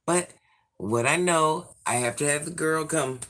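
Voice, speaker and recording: A man in his forties, hoarse from illness, chatting sassily with viewers on a livestream and reading out their comments. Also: fairly high pitch, measured pace, a bit bright, slight background noise. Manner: sassy, chatty, addresses viewers by name. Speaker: livestream host.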